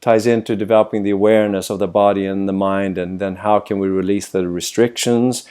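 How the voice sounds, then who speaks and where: A man's voice: fairly low pitch, steady tone, fairly quick, dark tone, clear, resonant tone. A middle-aged man from Sweden, a voice coach answering interview questions thoughtfully over a video call and posing many rhetorical questions.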